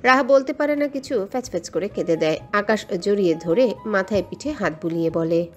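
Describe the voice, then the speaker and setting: Casting: female narrator for scripted romantic fiction, mid-range pitch, music bed underneath. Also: lively intonation, fairly quick. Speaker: story narrator.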